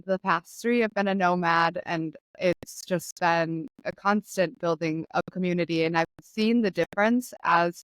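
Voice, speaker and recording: A young woman hosting a podcast in a relaxed, chatty way, laughing often as she talks. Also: lowish voice, unhurried, few pauses, clear, resonant tone, no echo. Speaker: podcast host. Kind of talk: casual conversational chat.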